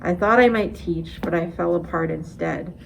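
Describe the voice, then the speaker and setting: A woman's voice: fairly low pitch, moderate pace, gravelly, slight background noise, rough recording. A middle-aged woman, an amateur poet, reading a confessional poem aloud.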